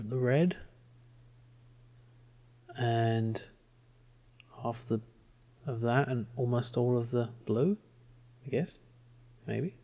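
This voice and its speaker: A man with a British accent giving casual, hesitant game commentary, puzzled and thinking aloud. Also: medium pitch. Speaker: gamer commentating a let's play.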